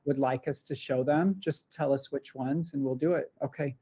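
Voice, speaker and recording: Male webinar moderator, middle pitch, polite and brief, on a video call. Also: steady tone, moderate pace, dark tone.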